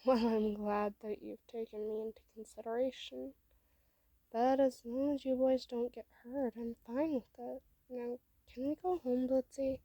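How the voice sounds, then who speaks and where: A woman voicing an affectionate, brave sixteen-year-old human hostage in a read-aloud story, soft and reassuring. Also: a little high, steady tone, unhurried, no echo, low-quality recording. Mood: fond.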